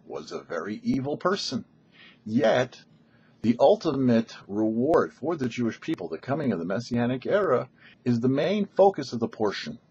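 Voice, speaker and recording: Husky man preaching with very lively pitch. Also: dull audio. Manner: didactic, poses rhetorical questions. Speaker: rabbi, host of a weekly Torah portion show. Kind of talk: short scripted sermon, expository teaching.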